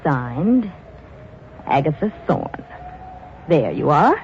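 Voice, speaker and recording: An actress playing a scornful, impatient wife in an old radio drama, sharp and contemptuous. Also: female voice, low voice, huge pitch swings, slow pace, a little hiss or hum, heavily acted. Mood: businesslike. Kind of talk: 1940s radio acting. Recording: dull old radio recording.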